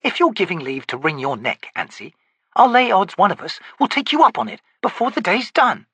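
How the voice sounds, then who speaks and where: A man voicing a sardonic, bossy young female corporal who scolds crisply in an audiobook. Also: high voice, sing-song, a little fast, bright, forceful tone, dry recording, pitched above the performer's own voice, exaggerated voice. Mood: scolding.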